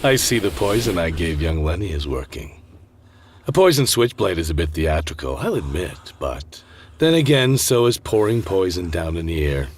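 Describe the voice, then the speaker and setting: A man acting a villainous, unrepentant murderous stepfather in a student radio drama, husky and menacing, with a mocking theatrical delivery. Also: sits low, very animated, normal speed, slight background noise. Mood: smug menace.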